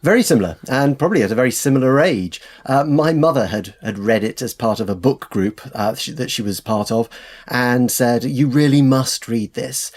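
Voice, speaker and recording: A man's voice: medium pitch, lively intonation, normal speed, a little mellow. A man with a British accent speaking as a scholarly interview guest, telling stories with enthusiasm and hesitant, repeated words. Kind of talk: conversational interview, storytelling.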